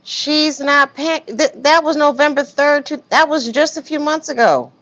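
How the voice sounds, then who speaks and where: A woman hosting a casual live stream, commenting on messages in a blunt, slangy, animated way. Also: high-pitched, moderate pace. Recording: dull, lo-fi stream audio.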